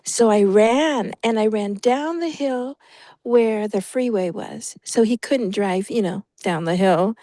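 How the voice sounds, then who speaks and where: A woman's voice: on the high side, takes it slowly, dark tone, dry recording, studio quality. A woman on a video call telling a personal story in a casual, rambling way, often stopping to search for words. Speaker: prayer group participant.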